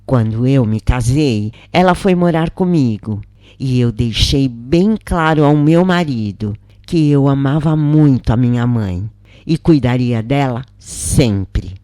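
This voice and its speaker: Woman, devotional reader, slow, soft and warm, dry close sound. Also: extremely low pitch, no room sound. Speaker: reader of channeled spirit messages. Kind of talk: slow devotional first-person reading.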